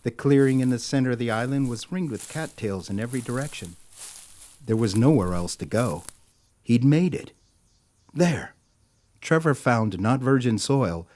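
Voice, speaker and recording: A man narrating a scripted audio drama as a storyteller, with a measured, wry, theatrical delivery. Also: mid-range voice, dark tone, natural voice.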